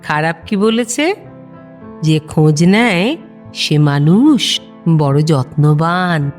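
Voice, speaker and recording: A grandmother who talks very slowly with a lively, rising and falling tune. She is affectionate, wry and teasing, like a character in a romantic radio play. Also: low-pitched, faint music behind. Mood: amused, nostalgic. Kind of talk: Bengali audio drama acting.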